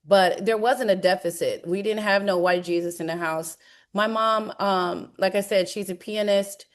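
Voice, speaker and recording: A woman's voice: mid-range voice, fairly even intonation, moderate pace. A young woman speaking as a guest, recounting her upbringing in a candid, emphatic way. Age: young adult. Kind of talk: conversational talk on a video call.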